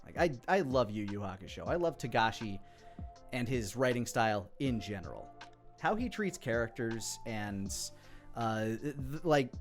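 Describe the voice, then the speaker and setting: A man giving casual, enthusiastic creator commentary on his own parody series, swearing casually. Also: medium pitch.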